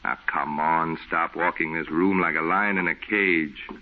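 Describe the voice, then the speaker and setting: Deep-voiced skeptical friend, muffled old radio. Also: male voice, fairly even intonation, measured pace. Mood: coaxing. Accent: American. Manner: insistent, folksy, reasoning. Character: level-headed, plain-spoken male friend, coaxing and skeptical. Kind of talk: 1940s radio horror drama acting.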